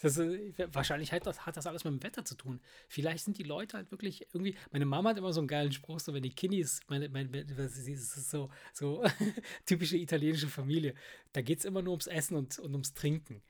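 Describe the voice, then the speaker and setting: A man in his fifties co-hosts a podcast in casual conversation. His voice sits a little higher than most men's, and his manner is jokey and coarse. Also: fairly quick, a little mellow. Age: 50s. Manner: jokey, crude humour. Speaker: podcast co-host. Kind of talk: casual chat.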